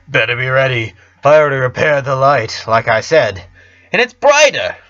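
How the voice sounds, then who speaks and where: A man's voice: mid-range voice, sing-song, moderate pace, a bit bright, dry recording. A man as an eager, kindly male creature, lo-fi. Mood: urgent, upbeat.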